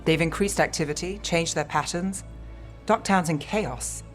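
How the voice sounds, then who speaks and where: Low-voiced wry detective played by an actress. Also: female voice, unhurried, faint music behind, close to an everyday voice. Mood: wry, businesslike. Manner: wry, understated. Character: dry, world-weary private detective woman. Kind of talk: video game dialogue.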